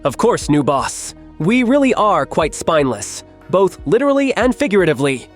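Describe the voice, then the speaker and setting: Man acting a cartoon robot henchman, high voice, lively pitch, sly, obsequious, music behind. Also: moderate pace. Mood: fawning. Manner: wry, obsequious. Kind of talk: comic fan dub voice acting.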